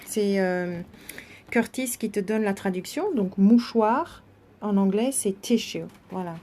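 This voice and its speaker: A woman's voice: mid-range voice, slow, warm tone. A woman informally teaching and translating between two languages, explaining things in an encouraging way as she guides a reading. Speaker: host guiding a child's reading and translating. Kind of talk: informal bilingual teaching, chatting with live viewers.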